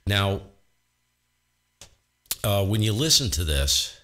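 Boomy baritone man explaining radio gear. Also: takes it slowly. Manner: relaxed, explanatory, thinking aloud. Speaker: ham radio operator and host. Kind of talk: casual technical walkthrough. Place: home radio shack.

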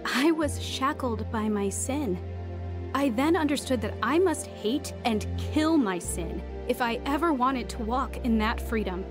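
A woman's voice: on the high side, expressive, unhurried, over music. A woman playing an earnest, repentant teenage girl in an audio drama, speaking in a confessional way. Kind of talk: dramatized first-person narration and dialogue.

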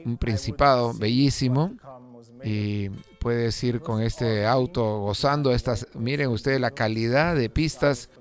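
Man, host voice-over, chatty, fillers, mid pitch, faint music behind. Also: measured pace. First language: Spanish; lived in England over sixteen years. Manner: conversational, with frequent "eh" fillers and restarts. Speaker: show host narrating a car video. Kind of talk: unscripted voice-over commentary.

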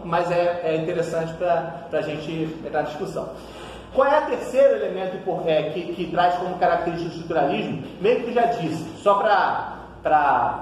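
Brazilian man, 30s, lively lecturer, higher voice, distant echoey room recording. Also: a little hiss or hum. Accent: Brazilian Portuguese. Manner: animated, gesturing widely, frequent tag questions. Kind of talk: academic lecture. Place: seminar room with whiteboard. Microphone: far camera across the table.

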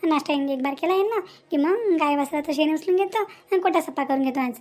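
Woman acting a fretful, high-pitched Marathi village mother. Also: brisk, darkish tone, lightly acted. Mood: weary grumbling. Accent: Vidarbha Marathi. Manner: grumbling, folksy, fretful. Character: overworked, fretful village mother of a grown daughter. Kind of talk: amateur cartoon dialogue.

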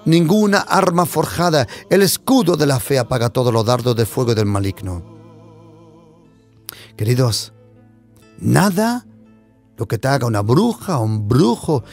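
Man, pastor preaching, animated rising and falling pitch, impassioned. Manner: impassioned, swings between loud declarations and hushed asides. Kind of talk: preaching sermon.

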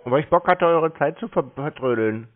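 A man's voice: fairly high pitch, animated, normal speed. A man on a call, answering in a dry, evasive and blunt way as a prank caller. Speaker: prank caller stringing along phone scammers. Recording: tinny phone line.

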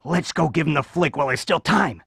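A man playing an eager, chummy little bug sidekick with a raspy, gravelly voice in a children's computer game. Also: fairly high pitch, brisk, fairly bright tone, no echo, clean studio sound, exaggerated voice.

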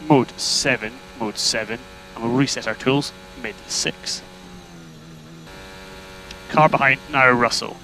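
A man's voice: mid-range voice, sharp tone. A man working as a race engineer, giving terse, repeated instructions over motor racing team radio. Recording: noisy team radio with electrical hum.

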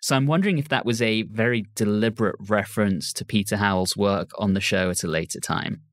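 A man's voice: lowish voice, fairly quick. A man hosting a podcast, discussing an audio drama. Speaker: podcast host.

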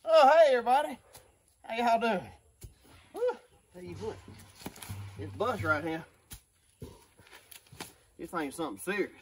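A fairly high-voiced man in his thirties talks casually into a handheld phone outdoors. He drawls and lets out excited whoops while working. A faint hiss of noise sits under his voice. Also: unhurried, pauses often. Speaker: vlogger pulling out an old bus. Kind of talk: casual work vlog. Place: outdoors in a rural yard by a barn.

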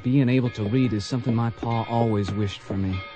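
An actor playing an earnest, gentle young farm man in a radio western, plain-spoken and sincere. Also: mid-range voice, fairly even intonation, fairly quick, soft background music, lightly acted. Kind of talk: radio drama acting.

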